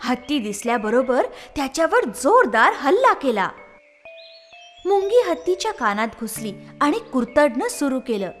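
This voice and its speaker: A woman narrating a scripted children's moral story in an expressive, lilting storytelling way. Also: high voice, moderate pace, music in the background.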